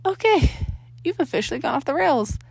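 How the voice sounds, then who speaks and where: A woman's voice: high-pitched, sing-song, fairly quick, gravelly, a little mellow, no echo, pitched above the performer's own voice, exaggerated voice. Woman as scolding, incredulous teenage girl. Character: Sam, disapproving sharp-tongued teenage girl. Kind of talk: acted fan fiction dialogue.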